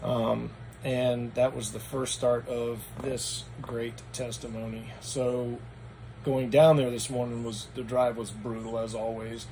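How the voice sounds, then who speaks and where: Hoarse, gravelly-voiced man with background noise. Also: mid-range voice, level tone, takes it slowly, darkish tone, rough recording. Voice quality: raspy. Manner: meandering, matter-of-fact recounting. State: hoarse after anesthesia. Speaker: vlogger. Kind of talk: casual personal vlog recap.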